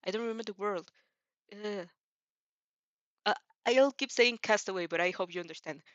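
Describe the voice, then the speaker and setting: A woman who is a non-native English speaker, hosting a casual fan fiction read-aloud with an informal, halting, giggly delivery and self-corrections. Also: mid-range voice, a bit bright, no room sound, highs cut off. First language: not English. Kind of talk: casual reaction chat and story reading.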